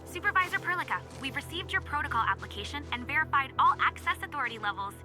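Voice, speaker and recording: A woman's voice: high voice, lively intonation, fast talker. A woman voicing an efficient, formal operations officer in a video game. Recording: music behind the voice.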